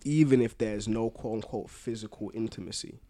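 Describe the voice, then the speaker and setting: A man's voice: lowish voice, brisk, warm tone, no room sound. A man co-hosting a podcast in casual, teasing, jokey discussion. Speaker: podcast co-host.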